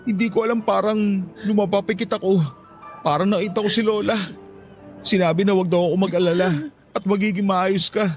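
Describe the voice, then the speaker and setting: Actor as a high-pitched, anguished Filipino husband in grief. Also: male voice, fairly even intonation, faint music behind, natural voice. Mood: sorrowful. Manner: anguished, halting delivery. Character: stunned grieving husband, devoted and heartbroken. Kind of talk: emotional Filipino radio drama acting.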